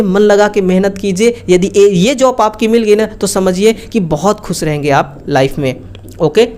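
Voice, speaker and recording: A woman's voice: medium pitch, darkish tone. A woman giving an informal, explanatory online lesson as an exam-prep teacher, talking colloquially with frequent check-in tags.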